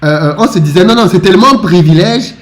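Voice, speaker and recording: A man from Africa talking in a casual discussion, animated and often stumbling over repeated words as he restarts. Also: high-pitched, a little fast. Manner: animated, with frequent stammered restarts.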